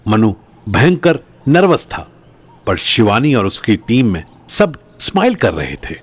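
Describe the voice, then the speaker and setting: A man's voice: lowish voice, sing-song, a little slow, slightly rough. A man narrating a scripted audio drama in a dramatic storytelling style.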